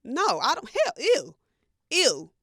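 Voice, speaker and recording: A woman's voice: high-pitched, wildly swooping pitch, slow pace, fairly bright tone, no echo, studio quality. An American woman co-hosting a talk show, chatting casually in blunt, slangy language. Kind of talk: casual culture gossip chat.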